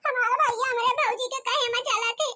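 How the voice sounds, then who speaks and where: A woman's voice: very high-pitched, fairly even intonation, brisk, sharp tone. A woman voicing a meek, long-suffering village daughter-in-law in an Awadhi accent, plaintive, for a cartoon comedy. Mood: tearful, aggrieved.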